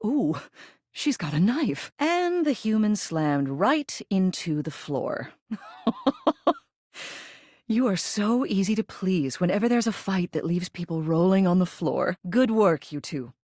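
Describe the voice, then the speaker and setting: A woman's voice: mid-range voice, expressive, a little slow, darkish tone, dry recording. A woman voicing a genteel, wry older female living ceiling who loves theater in a video game, refined and composed with dry wit. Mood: impressed, amused. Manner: refined, composed, dryly witty theater critic.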